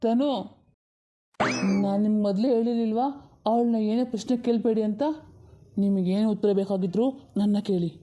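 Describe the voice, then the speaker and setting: A woman voicing an earnest, protective young man in a cartoon story, speaking firmly and deliberately as he explains himself. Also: mid-range voice, steady tone, warm tone, close to an everyday voice. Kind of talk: amateur cartoon story dialogue.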